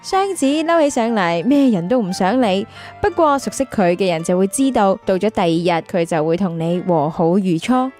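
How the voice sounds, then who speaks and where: Narrator for scripted radio intro: woman, Hong Kong Cantonese, warm mellow tone over light music. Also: mid-range voice, expressive, moderate pace, few pauses. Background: music under the voice.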